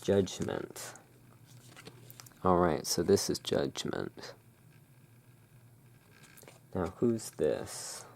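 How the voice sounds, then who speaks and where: A person speaking in a halting, musing way, explaining things as the owner of a gothic curiosity shop in an ASMR roleplay. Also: deep voice, very animated, creaky, a little mellow, low-quality recording. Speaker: roleplaying host. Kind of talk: ASMR roleplay, showing tarot cards.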